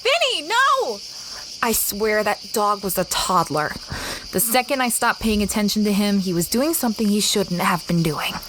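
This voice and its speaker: A woman's voice: medium pitch, very animated, normal speed, background noise, close to an everyday voice. A woman playing an adventurous young explorer who tells her story expressively in a first-person audio drama. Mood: panicked, pleading. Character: adventurous young woman explorer recounting a strange expedition, fretful over her dog. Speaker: narrator and lead character. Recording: clear background ambience of jungle insects.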